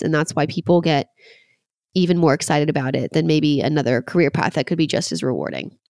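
A woman's voice: fairly low pitch, no room sound, clean studio sound. A woman in her twenties hosting a solo podcast, talking casually with lots of "like" fillers and sounding a bit congested from a cold. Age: 20s. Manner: chatty, relaxed, frequent "like" fillers. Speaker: podcast host.

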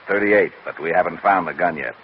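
Man playing brisk, brusque police lieutenant. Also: sits low, lightly acted. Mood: businesslike. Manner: brusque, businesslike, impatient. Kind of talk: old radio detective drama acting. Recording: muffled, noisy old radio recording.

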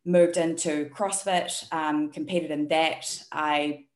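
A woman with a New Zealand accent, a coach and guest on a podcast, talking in a relaxed, open way with many ums. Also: low voice, slow pace, reverberant. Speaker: guest, health and performance coach. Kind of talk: casual interview chat.

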